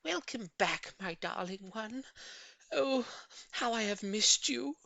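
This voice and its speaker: Man putting on a high, gushing storybook lady. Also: animated, takes it slowly, creaky, bright, forceful tone, slight background noise, heavily acted. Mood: joyful, doting. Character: gushing, sweet middle-aged lady doting on her pet. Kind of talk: audiobook character voice.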